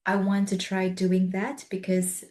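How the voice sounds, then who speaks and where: Soft-spoken gentle woman host. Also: mid-range voice, monotone, measured pace. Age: adult with a young son. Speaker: podcast host. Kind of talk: soft-spoken interview over video call. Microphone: video call recording.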